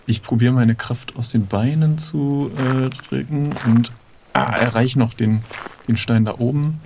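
A man's voice: medium pitch, steady tone, unhurried, gravelly, darkish tone, slight background noise. A man playing an improvised audio adventure, casually thinking aloud and hesitantly announcing his moves. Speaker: player in an improvised adventure game.

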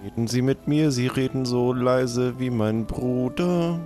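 A man playing a formal, businesslike undertaker with a deliberate, dry manner in an improvised role-play comedy. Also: medium pitch, mellow, faint music behind, lightly acted.

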